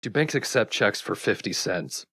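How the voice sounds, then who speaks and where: A man's voice: on the low side, very animated, moderate pace, pushed and bright, breathy edge, no room sound, clean studio sound. A man hosting a let's play, chatting casually about games in a wry, bluntly critical way. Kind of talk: casual gaming commentary with friends.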